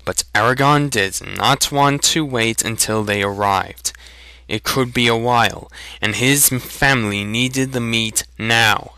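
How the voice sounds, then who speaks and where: A man narrating a fantasy story as a dramatic reading, with a deliberate, slightly halting amateur delivery. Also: mid-range voice, pushed and bright, clean tone, dry recording, low-quality recording.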